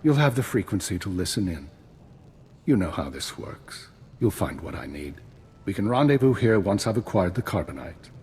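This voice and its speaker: An actor playing a shrewd, formal, dryly polite retired spymaster in a science-fiction video game. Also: male voice, deep voice, big pitch swings, normal speed, darkish tone, breathy edge, exaggerated voice. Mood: businesslike. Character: shrewd, unflappable male retired imperial spymaster. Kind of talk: scripted video game acting.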